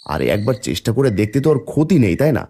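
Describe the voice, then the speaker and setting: A man's voice: medium pitch, big pitch swings, very fast, mellow, slightly rough. A man playing an earnest, fretful, hardworking village father in a cartoon family drama. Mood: hopeful, determined.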